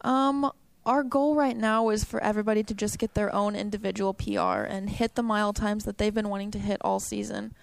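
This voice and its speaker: A young woman answers questions about her running with a gravelly, creaky voice. Her pitch stays flat and even while she chats on easily. The room sounds dry and close. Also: fairly quick, no room sound. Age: young adult. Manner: chatty, upbeat, frequent "um" and "like". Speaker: college cross country runner. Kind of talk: interview answers.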